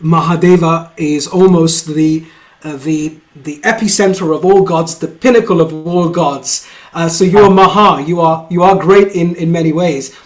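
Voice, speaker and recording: A man's voice: on the high side, normal speed. Effusive man hosting an interview show. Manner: enthusiastic, effusive, many fillers. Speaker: podcast host. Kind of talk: scripted show intro then interview.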